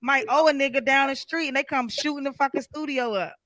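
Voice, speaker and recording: A podcast host in his mid-thirties with a very high, bright voice for a man. He talks in a relaxed, encouraging way with streetwise slang, and the sound is dry and close. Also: fairly quick, no room sound. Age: 30s. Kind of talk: casual podcast interview.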